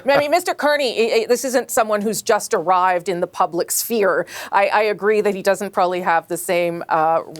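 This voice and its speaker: A woman with a Canadian accent moderating a political panel, brisk and quick to laugh, cutting in to steer the talk. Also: fairly low pitch. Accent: Canadian English. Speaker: host.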